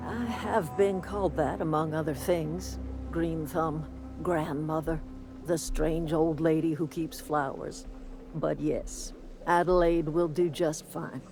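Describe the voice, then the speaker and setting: A kindly old matriarch of a garden commune for a video game. Her voice sits on the low side, and she talks in a plain, motherly, folksy way, with light music underneath. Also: steady tone, takes it slowly. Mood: welcoming. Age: older. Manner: folksy, motherly, calls people dear. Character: kindly, plain-spoken older woman leading a garden commune. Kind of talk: video game character acting.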